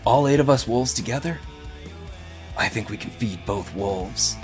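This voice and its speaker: A man's voice: mid-range voice, a little slow, lightly acted. A man playing an earnest, swaggering dude hero who makes slangy, rambling bro speeches. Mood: earnest speechifying. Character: earnest, swaggering dude hero pitching wolf-pack solidarity. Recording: music behind.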